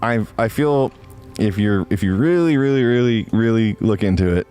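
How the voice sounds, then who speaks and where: A man in his late twenties giving a casual, confessional monologue, rambling and often halting and interrupting himself. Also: lowish voice, expressive, dark tone, faint music behind. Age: late 20s.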